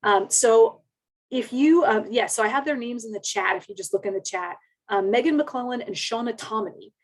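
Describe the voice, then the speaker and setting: A woman's voice: a little high, normal speed. A woman speaking as an education researcher on a webinar, explaining things informally in casual wording.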